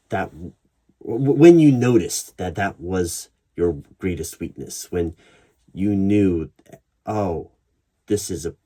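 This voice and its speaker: A man playing a human resources interviewer in an ASMR roleplay, speaking in a measured, probing way with deliberate pauses. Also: deep voice, dark tone, breathy edge. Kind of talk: ASMR roleplay, one-sided job interview. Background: computer keyboard typing.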